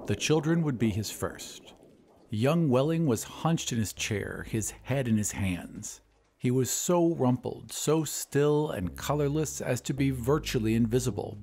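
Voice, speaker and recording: Expressive male storytelling narrator. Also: medium pitch, unhurried. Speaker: podcast host and narrator.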